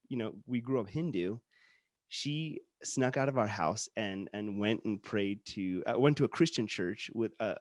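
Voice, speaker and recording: A man's voice: fairly low pitch, a little mellow, no room sound. Earnest man host, dry close sound. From Utah, born in India. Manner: earnest, enthusiastic storyteller with frequent "like" and restarts. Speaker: podcast host. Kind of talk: casual conversational interview.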